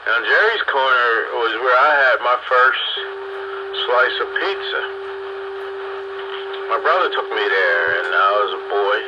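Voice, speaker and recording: A man's voice: medium pitch, expressive, unhurried, long pauses. American man chatting over noisy muffled radio. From city in the eastern US. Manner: folksy, colloquial, often addressing others as "man". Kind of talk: casual chat, storytelling. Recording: muffled radio link with loud static.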